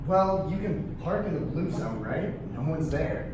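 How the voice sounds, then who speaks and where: Breezy slacker party guest played by a man, animated and oblivious; very echoey, noisy staged reading. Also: a little high, a little slow. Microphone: distant room microphone. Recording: echoey noisy hall, distant mic.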